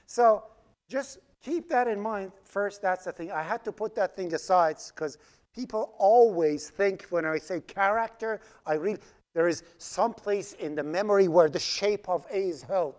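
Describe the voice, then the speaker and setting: A man whose first language is Farsi, teaching a programming class while typing code on screen and checking in with "right?" as he explains. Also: high-pitched. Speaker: programming instructor. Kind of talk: live coding lecture. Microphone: screen recording with voice-over mic.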